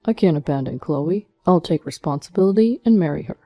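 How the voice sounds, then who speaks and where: A woman's voice: deep voice, animated, fairly quick, no room sound, dull audio, faint music behind. A woman voicing an evasive, self-excusing husband in a narrated story, speaking in a pleading way.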